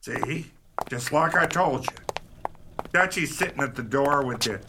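Slow, cocky big-spending gambler played by a man. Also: mid-range voice, expressive, noisy background. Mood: smug, easygoing. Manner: swaggering, drawn-out slangy talk. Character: Maxie, flashy cocky big-spending gambler sweet on women. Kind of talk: 1930s pulp radio play acting.

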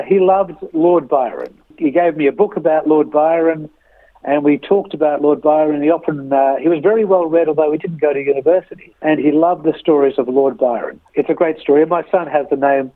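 Sixties guy on a phone line, bit high voice, slow storytelling w lots of uhs, sounds tinny. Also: steady tone, dry recording. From Australia. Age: 60s. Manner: measured, storytelling, frequent uh pauses. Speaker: interview guest. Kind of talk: reflective interview answers.

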